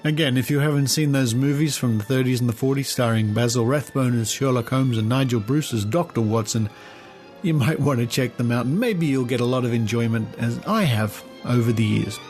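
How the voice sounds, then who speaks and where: An Australian man hosting a podcast, reading aloud in a measured, explanatory way with conversational asides. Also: medium pitch, fairly even intonation, darkish tone, soft background music. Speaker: podcast host.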